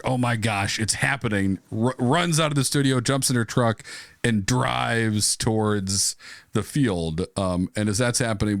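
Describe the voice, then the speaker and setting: A man's voice: on the low side, no echo, clean studio sound. A man co-hosting a podcast, enthusiastically steering an improvised comic story with questions. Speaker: podcast co-host.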